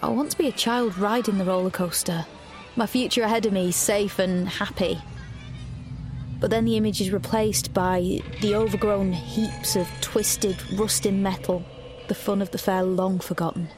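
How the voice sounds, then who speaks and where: Woman acting troubled young woman in a dystopian audio drama, mid pitch, bit of music behind. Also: fairly even intonation, normal speed, a little mellow, natural voice. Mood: wistful. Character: troubled young woman, betrayed and searching for answers. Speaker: lead actor and narrator.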